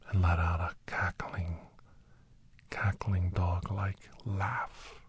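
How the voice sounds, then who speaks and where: Male performer as a solemn horror narrator for ASMR audio drama; low, husky whisper, deliberate phrasing. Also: darkish tone, no room sound, heavily acted. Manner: slow, deliberate, with pauses and repeated phrases. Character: Doctor Andrew Michaels, grave male storyteller recounting a werewolf encounter. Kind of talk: whispered ASMR dramatic first-person storytelling.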